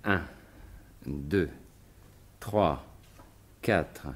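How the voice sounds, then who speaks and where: Gentleman burglar, very deep and unhurried, murmured, absorbed and slyly teasing, vintage radio-drama delivery. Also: exaggerated voice. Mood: absorbed counting. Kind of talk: 1950s French radio drama acting.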